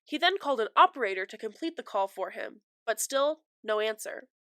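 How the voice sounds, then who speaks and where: A woman's voice: a little high, expressive, brisk, fairly bright tone, no room sound. A young adult woman hosting a true crime podcast, stuffy from a cold, chatty and apologetic with many fillers, on a close mic. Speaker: podcast host.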